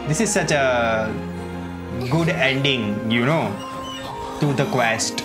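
A streamer commenting casually on a game in very unhurried speech. The asides are wry and teasing. Game music is clearly heard behind the voice. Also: medium pitch.

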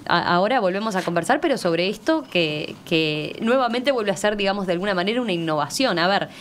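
A woman's voice: medium pitch, lively intonation, fairly quick, slight background noise. A woman in her thirties with a Uruguayan accent, hosting a conversational radio interview at a close studio microphone. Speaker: radio host. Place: small radio studio with windows. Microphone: close studio microphone on stand.

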